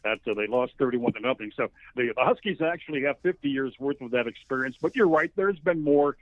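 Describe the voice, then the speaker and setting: A man's voice: medium pitch, fairly quick, no room sound. American man in his sixties on a phone line. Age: 60s. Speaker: radio play-by-play announcer as guest. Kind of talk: conversational interview. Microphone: telephone.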